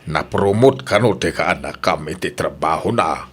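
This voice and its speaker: A man with an Ilocano accent playing a genial, expressive father and uncle in a radio comedy-drama, full of interjections. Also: sits low, normal speed, husky, full character voice. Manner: animated, interjecting.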